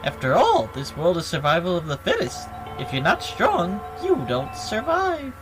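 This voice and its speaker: Game villain bear, performed by a woman: gleeful, mocking storyteller, hugely animated delivery, clear music underneath. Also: lowish voice, rough recording. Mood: sly storytelling. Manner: theatrical, mocking, drawn-out announcements. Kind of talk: dubbing video game dialogue aloud.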